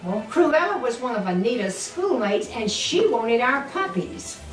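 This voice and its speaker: An older woman reads a children's story aloud in a highish, very animated voice, taking her time over each phrase. She turns aside to speak fondly to young listeners. The recording is echoey and distant, with music clearly playing underneath. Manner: expressive, deliberate, doting toward the children.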